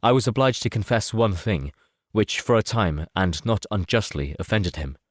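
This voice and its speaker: A man reading the part of a composed, self-assured gentleman in an audiobook, measured and formal. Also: on the low side, expressive, no echo, clean studio sound. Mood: candid, composed. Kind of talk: audiobook character dialogue.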